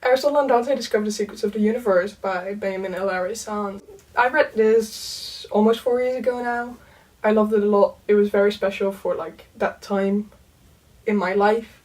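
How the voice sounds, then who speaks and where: A young woman whose first language is Dutch, chatting casually in a book vlog, full of "um" and "like" and soft laughs. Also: medium pitch, steady tone, moderate pace, distant mic, low-quality recording. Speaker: book vlogger. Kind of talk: casual chat.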